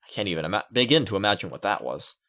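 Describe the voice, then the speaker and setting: A man's voice: low voice, sing-song, rapid-fire, sharp tone. A man reading a visual novel aloud as a let's player, adding playful joking asides. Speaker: video game let's player. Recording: music under the voice. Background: game music.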